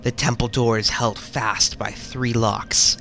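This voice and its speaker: A man as a dead temple guardian alien, fake british accent, slow formal grave tone. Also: mid-range voice, little pitch movement, gravelly, sharp tone, soft background music, exaggerated voice. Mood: solemn. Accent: put-on British. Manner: grave, measured, formal recitation. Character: solemn dead alien temple sentinel spirit.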